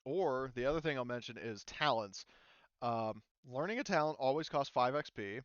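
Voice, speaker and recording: A man's voice: mid-range voice, animated, moderate pace, clean tone, no echo, dull audio. A man working as game master, casually explaining tabletop game rules in an informal way.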